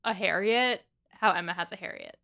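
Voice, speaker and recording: A woman co-hosting a podcast, chatting casually and retelling a story with animated asides. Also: medium pitch, brisk, creaky. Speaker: podcast co-host. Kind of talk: casual book discussion.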